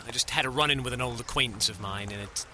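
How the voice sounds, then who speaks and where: A man's voice: medium pitch, fast talker, pushed and bright, a little hiss or hum. A man playing an exasperated, defensive and fiercely independent agent in an audio drama, speaking emphatically. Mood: weary.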